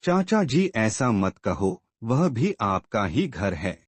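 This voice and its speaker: A man's voice: lowish voice, big pitch swings, takes it slowly, slightly rough, no room sound, full character voice. A man playing an earnest, respectful younger relative in a moral-story cartoon. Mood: respectful, pleading.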